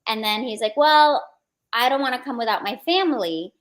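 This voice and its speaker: A woman's voice: a little high, expressive, moderate pace, fairly bright tone. A woman with an American accent, speaking as an interview guest and casually telling personal stories. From born in India, grew up in the United States.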